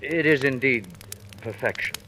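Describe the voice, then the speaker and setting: An actor playing a well-bred lieutenant, earnest and theatrical, in old-time radio Shakespeare style. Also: male voice, medium pitch, normal speed, bright, forceful tone, natural voice. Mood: polite, reserved. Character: well-bred young lieutenant, courteous sober, belligerent drunk. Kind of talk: 1940s radio acting of Shakespeare. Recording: noisy vintage radio recording.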